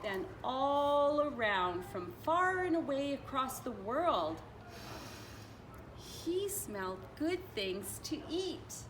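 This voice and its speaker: A woman reading a picture book aloud like a teacher, expressive, stretching and stressing her phrases for children. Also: sits high. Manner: expressive, drawn-out phrasing with emphasis. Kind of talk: animated children's storytelling. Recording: distant mic with clear background noise.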